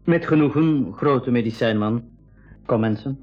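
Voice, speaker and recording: An actor playing a polite, somewhat hesitant member of a jungle expedition in a radio play, deferential in manner. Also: male voice, medium pitch, a little slow, lo-fi sound, faint music behind, lightly acted. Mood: respectful. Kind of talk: 1980s school radio play acting.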